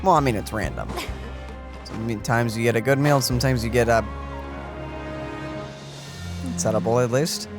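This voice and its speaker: A man's voice: mid-range voice, normal speed, pauses often, over music. A man giving casual, dryly joking commentary as he plays through a video game. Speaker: let's play commentator.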